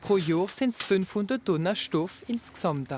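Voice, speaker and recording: A woman's voice: medium pitch, lively intonation, measured pace, darkish tone, a little hiss or hum. Woman reporting a regional news piece. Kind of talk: news voice-over narration.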